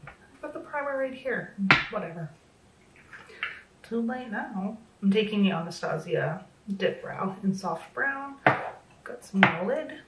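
A woman's voice: medium pitch, expressive, a little slow, long pauses, very noisy. Chatty woman losing her voice, roomy echo. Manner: chatty, self-deprecating, filler-heavy. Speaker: makeup vlogger. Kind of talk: casual makeup tutorial chat. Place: small home room, indoors. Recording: roomy echo, a bit distant from the mic.

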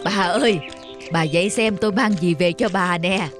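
A kind old husband from a cartoon, voiced by a woman, tenderly reassuring his sick wife. The voice is warm-mannered and soft-spoken, with light music behind it. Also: fairly low pitch, normal speed, natural voice. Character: gentle loving old husband.

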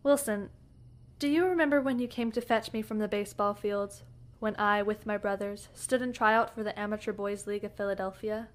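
Woman acting a well-bred young lady, medium pitch, formal, insistent, headstrong, western audio drama. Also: fairly even intonation, a little fast, close to an everyday voice. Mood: assured. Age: young adult. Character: headstrong, evasive young lady from a rich family.

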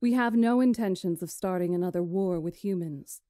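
A woman playing a stately magician in a visual novel, recounting history in a measured, formal, solemn way. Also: lowish voice, dark tone, no room sound, clean studio sound, natural voice. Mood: grave, formal. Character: stately female magician elder recounting ancient history to lords. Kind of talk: visual novel character acting, storytelling.

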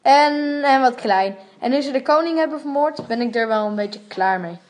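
A woman's voice: high voice, animated, moderate pace, darkish tone. A woman playing Samuel, a small, weak gang defector, in amateur radio drama with a hesitant, casual delivery. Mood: fed up, surprised.

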